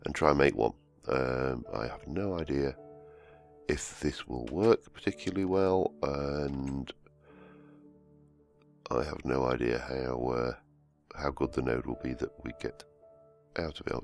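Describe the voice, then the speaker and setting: A man, croaky from a cold, hosting casual gameplay commentary and rambling as he plays. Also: extremely low pitch. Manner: rambling, self-correcting, humming to himself. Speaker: let's-play host. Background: soft background music.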